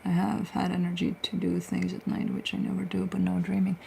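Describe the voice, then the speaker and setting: A woman's voice: on the low side, monotone, fairly quick, gravelly, mellow, a little hiss or hum. A woman, a tarot reader chatting casually on a livestream, telling stories and answering viewer questions. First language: Romanian.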